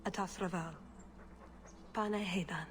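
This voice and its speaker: An actress playing an earnest, determined agent in a fantasy video game, serious and controlled. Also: female voice, lowish voice, steady tone, unhurried, very noisy, natural voice. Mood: earnest, urgent.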